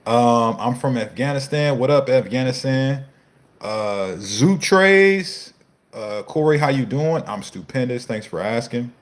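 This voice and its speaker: A man's voice: mid-range voice, expressive, measured pace. A man in his thirties, a fitness coach, hosting a casual live Q&A, reading viewer comments and answering in a loose, slangy, profane way. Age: 30s.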